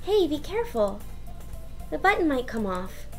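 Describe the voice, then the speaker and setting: A woman's voice: high-pitched, big pitch swings, moderate pace, a little mellow, soft background music. A woman voicing a sweet, playful, childish teenage schoolgirl with a cutesy, teasing manner in a visual novel. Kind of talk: visual novel read-aloud.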